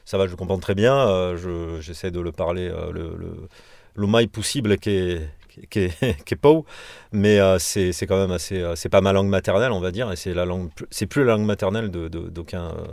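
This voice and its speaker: Male singer from Provence, interviewee. Low voice, hesitant and digressive, close dry sound. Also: fairly even intonation, brisk, gravelly, a little mellow, no room sound. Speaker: punk band singer being interviewed. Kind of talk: casual interview talk.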